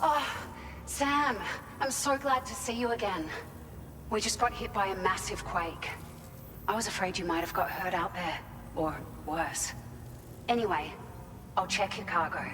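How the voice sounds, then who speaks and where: An actress playing a caring, chatty facility worker in a video game, speaking in a grateful, concerned, conversational way. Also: female voice, mid-range voice, a little slow, pauses often, natural voice. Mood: relieved, grateful. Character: warm-hearted, concerned facility worker receiving cargo. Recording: long reverberant hall, clear background noise.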